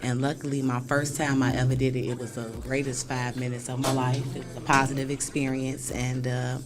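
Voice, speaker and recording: A woman in her thirties, a stand-up comic, telling her story in a relaxed, laughing way. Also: rumbling low, fairly quick, noisy background. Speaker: guest comedian. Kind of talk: casual interview chat.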